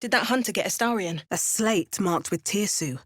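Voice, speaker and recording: A wry, adventurous heroine of a fantasy video game, acted by a woman. She speaks in a measured, understated way at a middling female pitch. The sound is close and dry, with no room around it. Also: no echo, lightly acted. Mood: musing, wry. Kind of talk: scripted fantasy game dialogue.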